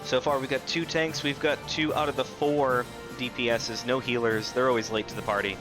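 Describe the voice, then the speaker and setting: A man's voice: mid-range voice, steady tone, normal speed. A man of thirty chatting casually and ramblingly to viewers as he livestreams a video game, with a self-deprecating streak. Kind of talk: casual live gaming commentary, chatting to viewers. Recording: music behind the voice. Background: loud game music.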